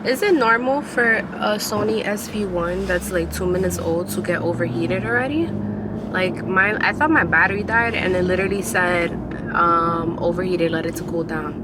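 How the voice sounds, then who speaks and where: A woman in her twenties, chatting casually to camera while driving, rambling and questioning herself. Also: mid-range voice. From New York City. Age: 20s. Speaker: vlogger. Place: inside a car in city traffic. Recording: loud road noise behind.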